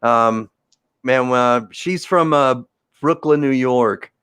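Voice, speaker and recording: A man's voice: mid-range voice, takes it slowly, fairly bright tone, smooth, no room sound, studio quality. A man hosting a live video-call interview show, encouraging and affirming his guest and laughing easily. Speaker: show host. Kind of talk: casual livestream interview.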